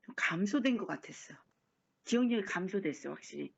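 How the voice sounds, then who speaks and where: A woman's voice: medium pitch, expressive, gravelly, husky. Hesitant middle-aged woman, dull recording. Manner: hesitant, halting speech with many fillers. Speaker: recovered patient. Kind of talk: casual interview.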